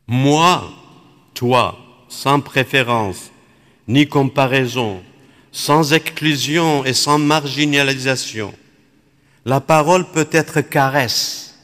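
Man, from Iraq, Aramaic first language, Dominican friar, very slow measured phrasing, long hall reverb. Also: medium pitch, a bit bright. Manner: measured, pausing between short phrases. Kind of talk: slow reflective spiritual talk.

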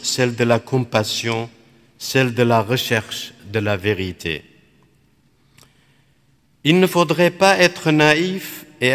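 Slow, reflective priest in a hall. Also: male voice, mid-range voice, fairly bright tone. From Iraq. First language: Aramaic. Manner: measured, contemplative, phrase by phrase. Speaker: Dominican priest. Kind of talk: spiritual reflection.

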